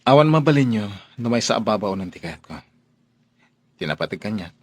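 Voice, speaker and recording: Earnest young son, dry close sound. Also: male voice, on the low side, sing-song, brisk, no echo. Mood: defiant. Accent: Filipino. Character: defiant young son of wealthy family, earnest, stubborn. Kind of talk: Filipino radio drama acting.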